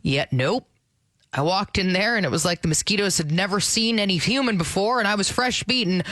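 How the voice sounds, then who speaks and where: A woman with a raspy voice hosting a radio podcast, chatting casually and playfully with big exclamations. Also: mid-range voice, a little fast, fairly bright tone, dry recording. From Ontario, Canada. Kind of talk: casual chat. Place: radio studio.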